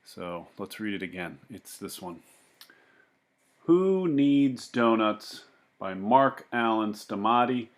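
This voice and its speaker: Unhurried, animated man reading aloud. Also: fairly low pitch. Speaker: children's book reader. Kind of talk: picture-book read-aloud with casual asides.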